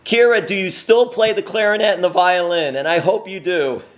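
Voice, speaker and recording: A man hosts an interview and asks his questions with plain enthusiasm. His voice sits a bit higher than most men's. His delivery is lively and upbeat. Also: moderate pace. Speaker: interview host. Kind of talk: casual interview.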